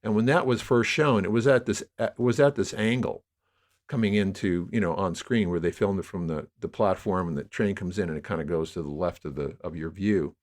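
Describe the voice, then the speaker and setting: A man's voice: low-pitched, fairly quick, darkish tone. A man speaking as an interview guest, explaining at length in a discursive, emphatic storytelling way. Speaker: podcast guest, audio drama producer. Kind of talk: conversational interview, explaining media history.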